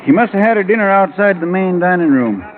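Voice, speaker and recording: An actor playing a blunt, wry Western sheriff with a folksy drawl in a 1950s radio drama. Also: male voice, high voice, lively intonation, fast talker, narrow, tinny audio. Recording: band-limited vintage radio recording, faint music.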